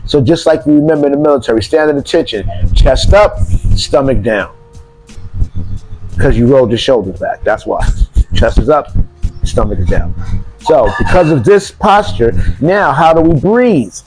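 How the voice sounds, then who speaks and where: A man's voice: medium pitch, animated, normal speed, a little mellow, soft background music, rough recording. A man teaching self-defense breathing exercises, repeating cues and counting breaths aloud. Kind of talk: demonstration lesson.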